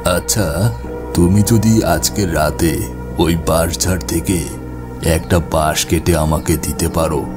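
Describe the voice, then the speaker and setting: A man voicing a stern, superstitious old village man in an animated ghost story. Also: low-pitched, slow pace. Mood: ominous warning. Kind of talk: cartoon dialogue. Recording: music bed behind the voice.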